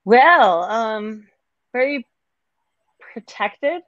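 A woman from Denmark, a guest singer-songwriter, chatting casually in an interview over a video call, laughing easily and pausing with fillers. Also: on the high side, animated, a bit bright, no echo, muffled recording.

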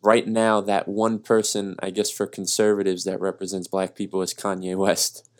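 An American man talks casually, as in a relaxed discussion between two people. His voice is on the low side. He offers his observations in everyday conversational speech. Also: normal speed.

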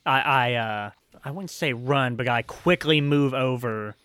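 Guy in a tabletop game, bright forward voice, hesitant casual talk, dry sound. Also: mid-range voice, measured pace, clean tone, dry recording. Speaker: player. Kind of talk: casual tabletop role-play chat.

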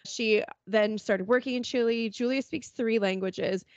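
A relaxed, chatty woman hosts a podcast and laughs easily. Her voice is recorded dry, with a slightly dull, lo-fi sound. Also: fairly high pitch, measured pace, no echo. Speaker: podcast host. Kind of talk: casual podcast chat.

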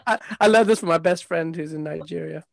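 A man co-hosting an interview, joking and teasing, with bursts of excited exclamations. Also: on the high side, normal speed, creaky, no room sound, studio quality. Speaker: interview co-host. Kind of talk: casual interview chat over a call. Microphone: headset.

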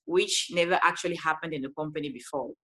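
A woman from Cameroon with a Cameroonian accent, telling her story as an interview guest in an animated way with frequent laughs. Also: deep voice, fast talker, rough recording. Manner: animated, laughs often, restarts sentences. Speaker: guest, life coach.

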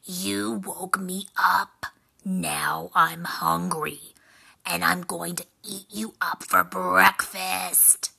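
A woman voicing a big, terrifying, grumpy troll in a storybook tale, with a gravelly, husky growl and loud delivery. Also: fairly even intonation, heavily acted. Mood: menacing, angry. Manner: slow, loud, booming delivery.

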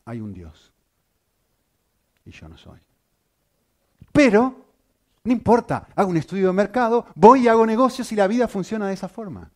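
A man preaching, his voice sitting a little high for a man and swinging widely up and down as he works through the sermon. He keeps turning to the listeners with rhetorical questions. The delivery is lively and emphatic throughout. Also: moderate pace, long pauses. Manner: animated, rhetorical questions to the congregation.

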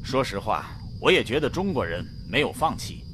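A man plays a skeptical astronaut in a radio play, briskly reading out distances and dismissing wild ideas. His intonation moves a lot. A faint hiss sits behind him. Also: medium pitch, sing-song, lightly acted. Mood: reporting. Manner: matter-of-fact, dismissive. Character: Manish, calm skeptical male astronaut pilot. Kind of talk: radio drama acting.

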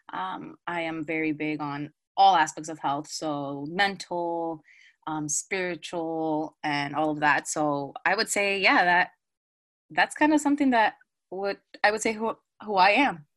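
Female podcast guest with an American accent, slightly low pitch, casual conversational interview style. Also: fairly bright tone, no room sound. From Dominican Republic, raised in the United States. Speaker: podcast guest, wellness coach and Zumba instructor.

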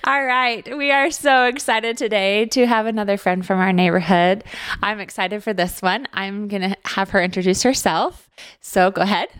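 A woman's voice: medium pitch, normal speed, a bit bright, soft background music. A woman hosting a neighborhood interview podcast, upbeat and encouraging, often laughing along with her guest. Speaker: podcast host. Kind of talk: friendly interview.

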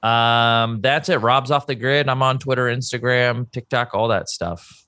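A man's voice: medium pitch, unhurried, sharp tone, no echo, studio quality. A man hosting a podcast, easygoing, reading listener questions and wrapping up the show. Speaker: podcast host. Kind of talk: casual chat and show outro.

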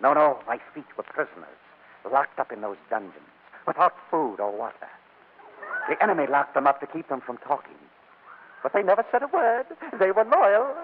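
An actor playing a playful husband feigning madness in 1940s radio comedy, theatrical and mock-solemn. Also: male voice, on the high side, very animated, moderate pace. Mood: mock-grave. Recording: muffled, noisy old radio broadcast.

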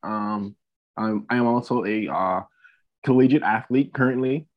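A man's voice: fairly low pitch, a little slow. Young guy from the bahamas on a podcast, kinda hesitant, repeats himself, muffled lo-fi sound. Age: 20s. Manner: hesitant, repeats phrases as he thinks. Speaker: podcast guest, student athlete and entrepreneur. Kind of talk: casual interview talk. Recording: dull lo-fi audio.

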